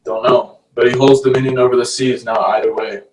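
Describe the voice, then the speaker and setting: A man's voice: mid-range voice, level tone, a little fast, natural voice. A man playing a sarcastic, irreverent merman in a screenplay table read. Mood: sardonic. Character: male merman, sardonic, unapologetic sea creature. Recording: distant mic, faint background noise.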